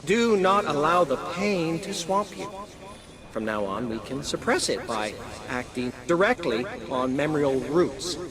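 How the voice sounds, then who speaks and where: A man playing an unhinged, grandiose scientist villain in a video game, coldly soothing and pompous in florid, deliberate phrases. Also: on the high side, animated, a crowd in the background. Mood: gloating calm. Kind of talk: dramatic video game acting. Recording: loud music over the voice.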